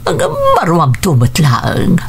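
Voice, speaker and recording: A woman's voice: sits low, lively intonation, measured pace, creaky, background noise, exaggerated voice. A woman playing a kindly, motherly middle-aged aunt in an Ilocano radio drama. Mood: warm, caring.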